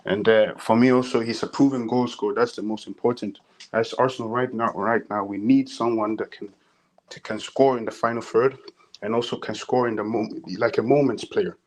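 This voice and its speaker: A man's voice: mid-range voice, fairly even intonation, moderate pace, darkish tone. A man from Sweden with a Swedish accent, calling in to a podcast and giving his view hesitantly. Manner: hesitant, many fillers and restarts. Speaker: caller. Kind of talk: casual call-in opinion. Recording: faint background noise on call.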